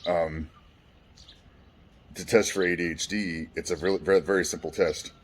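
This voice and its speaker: A man with a deep, resonant voice telling his life story frankly, swearing casually and restarting often. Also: steady tone, moderate pace, a little hiss or hum. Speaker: vlogger. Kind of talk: candid personal storytelling.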